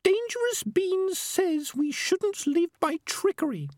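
A man voicing a small, earnest female talking rat with a little squeaky voice, in a storybook reading. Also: very animated, slow pace, a little mellow, dry recording, clean studio sound, heavily acted. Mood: earnest, objecting.

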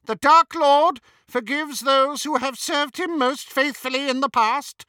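A man voicing a haughty, contemptuous witch in an audiobook, shrill and cold, with a commanding manner. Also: unhurried, sharp tone, no echo, heavily acted. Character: haughty young witch imitating an imperious, contemptuous dark villainess. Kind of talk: audiobook character voice.

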